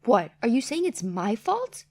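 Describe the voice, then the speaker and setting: A woman's voice: a little high, sing-song, measured pace, darkish tone, heavily acted. A woman voicing a scornful, scolding older mother-in-law in a dramatized online story. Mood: accusing. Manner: scolding, demanding.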